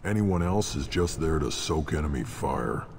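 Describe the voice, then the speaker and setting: Very deep gravelly alien brute. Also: male voice, expressive, moderate pace, darkish tone. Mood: sardonic. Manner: gruff, dismissive, sardonic. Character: surly, blunt alien Krogan warrior, hulking and scornful. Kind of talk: video game character acting. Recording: long reverb, clear background noise.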